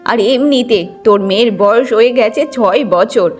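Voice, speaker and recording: A woman's voice: a little high, normal speed, soft background music, natural voice. A woman voicing a bitter, domineering old village mother-in-law in a cartoon moral story. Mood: stern. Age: older.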